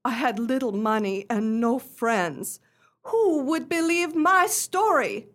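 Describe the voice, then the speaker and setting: A woman's voice: a little high, expressive, slow pace. A woman playing a wronged countess in a radio play, speaking with formal, earnest dignity. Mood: distressed, pleading.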